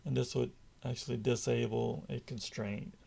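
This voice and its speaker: A man with a raspy, gravelly voice talks through a screen-recorded software tutorial. His pace is slow and his tone stays flat and dark. He explains each step patiently and reassures the viewer. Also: mid-range voice, slight background noise. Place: indoors at a computer, voice-over on screen capture.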